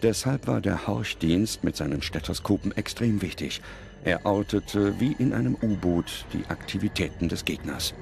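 A man's voice: deep voice, normal speed, vocal fry, a little mellow. A man reading scripted documentary narration as the narrator. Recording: music under the voice. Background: music.

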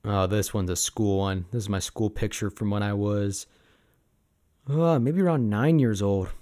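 Male actor as sentimental young sabertooth boyfriend for ASMR roleplay; lowish pitch, casual, gently hesitant delivery. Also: unhurried, close to an everyday voice. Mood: fond, nostalgic.

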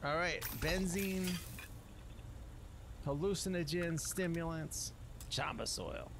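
Animated man casually narrating a video game. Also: on the high side, takes it slowly, background noise. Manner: folksy slangy speech, muttering to himself. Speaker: gameplay streamer. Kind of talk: casual game commentary in a loose roleplay.

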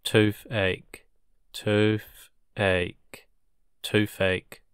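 A man's voice: on the low side, level tone, extremely slow, smooth, dry recording, studio quality. A man teaching English words for illness, saying each word three times and then each sentence word by word. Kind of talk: slow drilled vocabulary reading.

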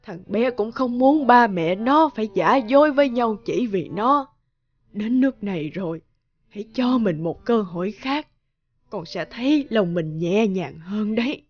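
A woman voicing a gentle older mother who gives soothing, measured advice in a cartoon. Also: a little high, animated, muffled recording. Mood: gentle, grave. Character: gentle older mother counselling her grown daughter.

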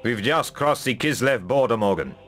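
A man's voice: medium pitch, lively intonation, moderate pace, very noisy, close to an everyday voice. A man playing a shrewd, suspicious mercenary lieutenant, serious and plain-spoken, in a fantasy video game. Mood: reporting.

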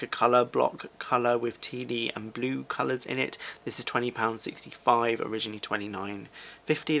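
A man's voice: mid-range voice, gravelly, a bit bright, a little hiss or hum. A British man in his twenties giving casual, chatty shopping commentary to camera, rambling off into asides. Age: 20s. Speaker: fashion vlogger. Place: small furnished living room, indoors.

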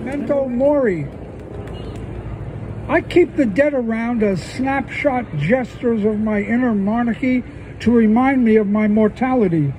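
High-pitched, mellow-voiced man reading poetry. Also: unhurried, a little hiss or hum, rough recording. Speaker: poet. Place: outdoor stage under trees at a festival. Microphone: stand mic on stage, filmed by phone.

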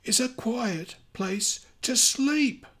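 A man's voice: very high voice, lively intonation, extremely slow, fairly bright tone, pitched above the performer's own voice, heavily acted. A man voicing a cranky storybook bear with a grumpy, roaring delivery. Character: cranky growling bear in a picture book.

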